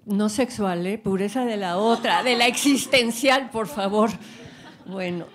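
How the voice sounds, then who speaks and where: A woman runs a public question session. She cuts in briskly and teases a little as she steers the talk. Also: mid-range voice, slight background noise. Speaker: moderator. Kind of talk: moderating a public Q&A.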